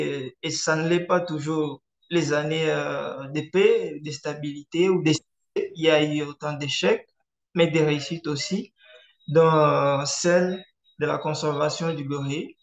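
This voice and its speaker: Man, Swahili speaker, fairly high voice, measured pace with many hesitations, echoey room. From eastern DR Congo. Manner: measured, frequent hesitation fillers. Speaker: conservationist presenter. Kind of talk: online presentation. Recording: roomy echo.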